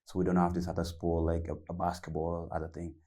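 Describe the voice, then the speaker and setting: A young man from South Sudan telling his story on a panel, halting and restarting often with many fillers. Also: deep voice, completely flat delivery, dark tone. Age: young adult. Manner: halting with many repeats and fillers, loose grammar. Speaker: panelist, youth founder. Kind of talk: panel discussion, personal story.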